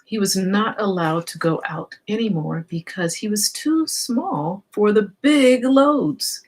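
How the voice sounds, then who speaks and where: Female children's storyteller, slow and expressive, slightly breathy-husky tone, talks directly to little listeners. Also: mid-range voice, poor audio. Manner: expressive, explains and poses questions to children. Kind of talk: read-aloud storytelling with asides to young listeners.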